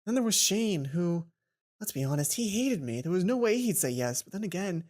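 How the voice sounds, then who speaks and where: A woman reading a visual novel game aloud as a let's play host, playful and expressive, often stumbling and rephrasing. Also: on the low side, moderate pace.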